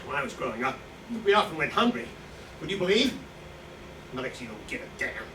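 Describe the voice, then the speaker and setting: A man's voice: mid-range voice, sing-song, quick pace, lightly acted. A man playing an irritable, dying old hospital patient in a staged reading, bitter and given to snappish outbursts. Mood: irritable, agitated. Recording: roomy, distant mic, noisy live recording.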